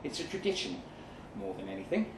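A man's voice: a little fast, close to an everyday voice. A man playing a reserved, formal, world-weary middle-aged machine operator in a short story reading. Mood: explaining. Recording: roomy, distant live venue recording, faint noise.

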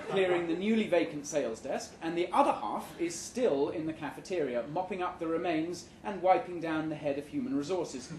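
A man with a fairly high voice narrates a short story at a live reading. His delivery is measured and dry. The room echoes around him, with a little background noise. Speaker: story reader. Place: indoor live reading event before an audience.

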